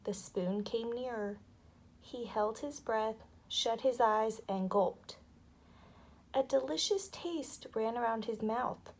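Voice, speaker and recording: Slow, creaky woman reading a storybook. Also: a little high. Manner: expressive, dramatic. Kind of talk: read-aloud children's story narration.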